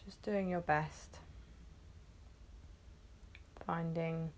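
A woman guiding a meditation, gently instructing in short phrases with long, unhurried pauses. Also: deep voice, fairly even intonation, slight background noise. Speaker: meditation guide. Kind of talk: guided meditation, soothing instruction.

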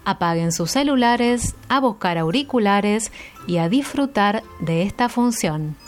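Female presenter, medium pitch, scripted children's programme introduction, light music underneath. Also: animated, measured pace.

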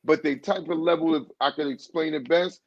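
A man's voice: fairly high pitch, fairly even intonation, brisk, dry recording. A man being interviewed as a singer, telling a long casual story with lots of "you know what I'm saying" and restarts. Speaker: guest singer. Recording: dull, dry muffled recording.

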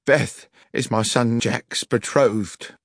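A man's voice: mid-range voice, takes it slowly, gravelly, husky, no echo, clean studio sound, pitched above the performer's own voice, exaggerated voice. A man voicing an anxious, blunt elderly village landlord who is fiercely protective of his family. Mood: protective, angry. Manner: agitated, blunt.

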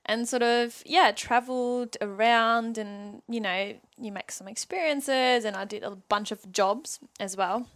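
Guest: woman, 20s, German accent, higher voice, giggly and rambling. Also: a little slow, few pauses, a bit bright. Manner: bubbly, laughs often, rambling. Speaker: guest, mindset coach. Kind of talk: casual podcast interview.

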